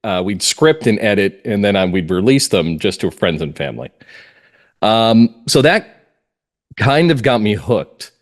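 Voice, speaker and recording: A middle-aged man from New England speaking as an interview guest, telling stories in a halting, reflective way. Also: on the low side, big pitch swings. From New England, United States. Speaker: interview guest, playwright and actor. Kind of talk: conversational interview.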